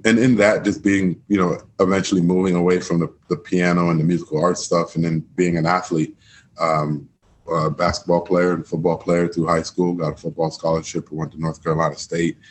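A man with a very low voice talks in a loose, rambling way, circling back and repeating words as he gathers his thoughts. Behind him is a faint hum of room ambience. He is a former football coach from Miami, speaking as a guest on a podcast. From Miami, Florida. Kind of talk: casual interview chat.

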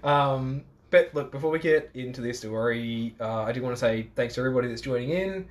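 Australian man, podcast host, mid-pitched, easygoing and jokey, casual banter. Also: a little fast. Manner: jokey, chatty, self-mocking. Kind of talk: casual chat.

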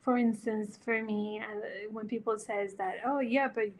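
A woman's voice: medium pitch, steady tone, moderate pace, low-quality recording. A woman from America, a podcast guest giving earnest, encouraging advice and sometimes searching for words. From Latin America. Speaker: podcast guest, interior designer. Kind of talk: casual chat, encouraging advice.

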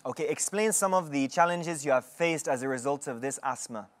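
A woman hosting a televised prayer session, preaching with measured emphasis and interviewing callers. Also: deep voice, no room sound. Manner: measured, emphatic, slow sermon-like delivery. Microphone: studio microphone.

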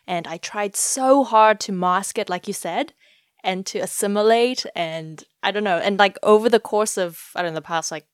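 A woman's voice: medium pitch, normal speed, smooth, no echo, clean studio sound. A woman from South Africa with a mostly American accent, hosting a casual interview and talking reflectively. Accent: American with slight South African traces. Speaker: podcast host.

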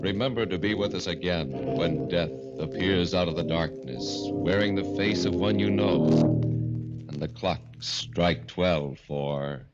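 A man's voice: deep voice, steady tone, unhurried, gravelly. A man narrating and announcing a 1940s radio drama in an ominous, dramatic scripted style. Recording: dull old radio recording, loud music.

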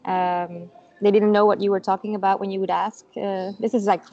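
A woman from Belgium, a native Dutch speaker, talks easily as an interview guest, with frequent fillers and little laughs. Her voice sits at a middle pitch. The sound comes through a dull, lo-fi video call. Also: level tone, fairly quick, a little mellow. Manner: chatty, laughs often, many fillers.

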